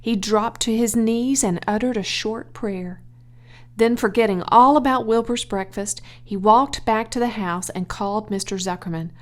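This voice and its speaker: A woman reading a children's audiobook aloud as an expressive storyteller. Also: mid-range voice, moderate pace. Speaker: audiobook reader.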